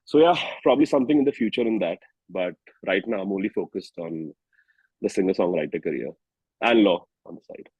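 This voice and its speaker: Low-voiced Indian man, slightly husky. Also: a little fast, a little mellow, dry recording, dull audio. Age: 30s. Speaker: singer-songwriter and musician interview guest. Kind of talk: casual interview chat.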